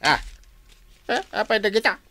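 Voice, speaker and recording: A man's voice: sits high, animated, normal speed, pushed and bright, heavily acted. A man with an Ilocano accent playing a stubborn, sickly, grumbling old father in a radio drama. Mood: grumbling, alarmed. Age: older.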